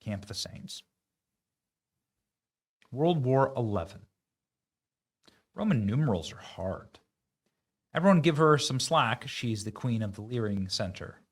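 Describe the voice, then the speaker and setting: A man's voice: medium pitch, very animated, unhurried, pauses often. A man hosting online political commentary, talking in a mocking, sarcastic and emphatic way.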